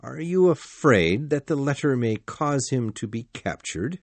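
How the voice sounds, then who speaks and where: A man acting a grave, formal gentleman in a gothic mystery audiobook, speaking in a deliberate, earnest way. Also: medium pitch, sing-song, no echo. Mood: grave, probing. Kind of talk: dramatised audiobook dialogue.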